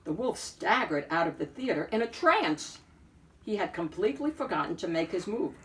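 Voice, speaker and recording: A woman reading a picture book aloud as an expressive narrator, phrasing deliberately with emphatic swells. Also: on the low side, far from the mic, low-quality recording. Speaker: picture book reader and host.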